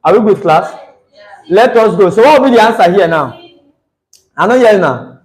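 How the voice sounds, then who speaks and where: A man's voice: high-pitched, lively intonation, normal speed, poor audio. A young Nigerian man with a Nigerian accent, teaching a chemistry class live, chatty and teasing, slipping into Pidgin. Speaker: chemistry tutor.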